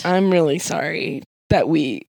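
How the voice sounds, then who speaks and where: A woman's voice: sits low, measured pace, vocal fry, a little mellow, breathy edge, no echo. A woman co-hosting a podcast in casual, comedic banter, with a giggly, playful and silly manner. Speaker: podcast co-host.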